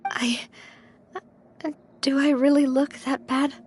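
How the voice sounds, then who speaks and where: Proud but shaken young female boss for a game: high, unhurried, wistful delivery. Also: flat delivery, darkish tone, a little hiss or hum. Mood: uneasy. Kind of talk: video game voice acting, emotional dialogue.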